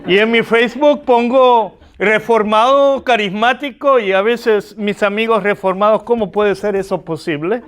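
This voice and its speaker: Slow-talking Argentine man lecturing. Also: sits high. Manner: poses rhetorical questions to the class, animated. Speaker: seminary lecturer. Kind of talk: classroom lecture.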